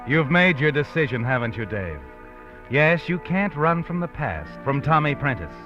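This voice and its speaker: A man's voice: on the high side, sing-song, normal speed, lo-fi sound, music in the background. An actor playing a mysterious male narrator in a 1950s radio drama, speaking to the hero in the second person in a knowing, ominous manner.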